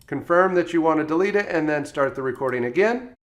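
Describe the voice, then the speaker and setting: A man presenting software training in a step-by-step instructional tutorial style, as a voice-over. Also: on the high side, expressive, fast. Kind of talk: scripted tutorial narration.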